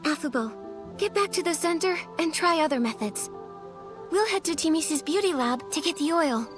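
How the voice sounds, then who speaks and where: Sweet earnest teen game heroine, really high girly voice, encouraging, music underneath. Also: lively intonation, moderate pace. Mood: eager, resolved. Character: kind, earnest, resourceful teenage girl heroine. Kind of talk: video game cutscene acting.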